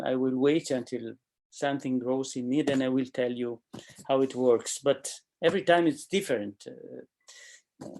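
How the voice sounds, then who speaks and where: A composer being interviewed over a video call, who speaks haltingly in non-native English and often stops to find the next word. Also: male voice, mid-range voice, vocal fry, mellow. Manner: halting, searching for words, non-native phrasing. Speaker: guest composer. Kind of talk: casual interview answers.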